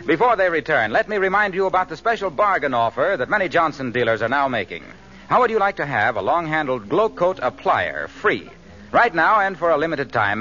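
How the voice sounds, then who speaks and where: A male American announcer from 1940s radio gives a polished sponsor pitch. His voice is fairly high and swings with animated emphasis. The vintage recording is muffled.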